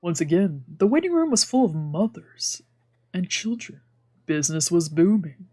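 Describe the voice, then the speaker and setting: Low-voiced woman streaming a visual novel. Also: very animated, normal speed, dark tone. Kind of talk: read-aloud narration with casual let's-play chat. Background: faint game music.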